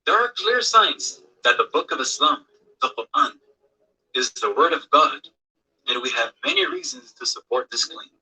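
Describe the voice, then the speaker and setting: Male documentary narrator, raspy and sharp-edged, solemn and declarative; scripted read. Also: fairly high pitch, poor audio.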